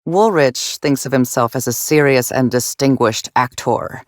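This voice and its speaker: A woman's voice: extremely low pitch, unhurried, no room sound. Female game constable, dutiful, tired, politely anxious, formal diction, very dry sound. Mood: earnest, formal. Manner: formal, deferential, clipped official courtesy. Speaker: police constable in a video game. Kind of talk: video game dialogue acting.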